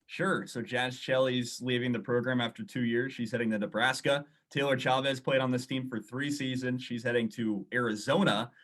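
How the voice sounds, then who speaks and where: Sports radio guest, young male, medium pitch, explanatory interview answers. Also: steady tone, moderate pace, few pauses, a bit bright.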